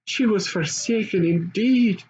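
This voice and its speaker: A man's voice: sits high, measured pace, darkish tone. An older man reads a children's story aloud expressively, as an amateur storyteller. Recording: dull lo-fi home recording, faint noise.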